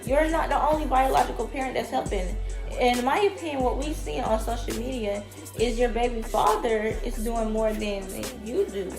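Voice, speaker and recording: A woman who is a little sick and sounds raspy, giving blunt, emphatic opinions about a reality show straight to her viewers. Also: on the high side, moderate pace. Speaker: reality TV commentator. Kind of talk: opinionated vlog commentary. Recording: echoey room with loud music.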